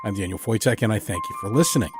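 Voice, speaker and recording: A man's voice: fairly low pitch, rapid-fire. Male podcast host over music. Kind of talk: scripted show introduction and announcements.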